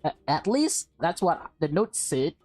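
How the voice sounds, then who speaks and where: A woman acts out a nervous young man from a visual novel game. The voice is halting, earnest and apologetic, over game music. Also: deep voice, big pitch swings, warm tone. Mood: explaining. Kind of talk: visual novel read aloud in character.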